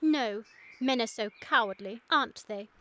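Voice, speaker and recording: A woman's voice: on the high side, normal speed, a bit bright, a little hiss or hum, close to an everyday voice. A woman playing a sweet, prim Victorian girl in a radio comedy, with theatrical, precise diction. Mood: wounded, dramatic. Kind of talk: scripted radio comedy acting.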